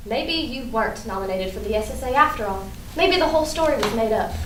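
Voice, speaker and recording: A woman acting a proud, blunt, guarded high school girl with an emphatic, dry manner in a staged play reading. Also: lively intonation, fast, natural voice. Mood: hurt, firm. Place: indoor room at a staged reading. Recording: roomy, distant mic, faint noise.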